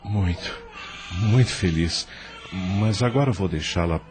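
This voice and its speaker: A man's voice: deep voice, unhurried, over music. A man playing an austere but tender professor and new father in a radio play. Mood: tender, moved.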